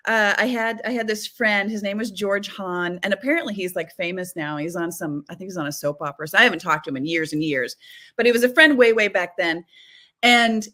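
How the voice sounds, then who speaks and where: Bright-voiced chatty woman guest. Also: mid-range voice, a little fast. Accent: none, lost her Boston-area accent. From Massachusetts. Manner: animated storyteller, laughs often. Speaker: guest, psychic medium. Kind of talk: casual interview chat.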